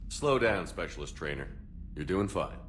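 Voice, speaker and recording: An actor playing a weary, resolute military spaceship commander in a video game, terse, steady and grave. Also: male voice, sits low, moderate pace, a little hiss or hum. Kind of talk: video game cutscene dialogue.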